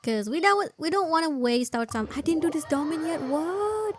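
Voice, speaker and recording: A woman's voice: sits high, lively intonation, moderate pace, noisy background. A woman doing casual, chatty gameplay commentary in informal non-native English. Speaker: game streamer. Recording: game music behind the voice. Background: video game music.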